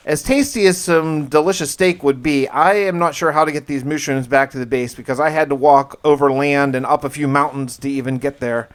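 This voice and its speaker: A man narrating a survival game diary in the first person, conversational and musing, with wry asides. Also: on the high side. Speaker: gaming video narrator. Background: music.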